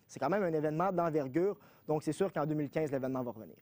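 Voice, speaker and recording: A man reporter with a fairly high, warm voice who rattles through his live report quickly and conversationally. The sound is dry and close. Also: dry recording. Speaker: news reporter.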